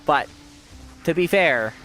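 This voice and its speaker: A man's voice: a little high, lively intonation, takes it slowly, a bit bright, soft background music, rough recording. A man streaming a game live, chatting casually with viewers while his sore throat leaves his voice fading. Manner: chatty, reads and answers viewers.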